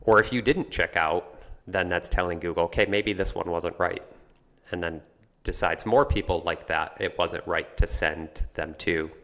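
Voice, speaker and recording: A man with a notably low, deep voice speaks as a podcast guest. He explains things in a conversational, unscripted way, as if talking them through with a colleague. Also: moderate pace, fairly bright tone.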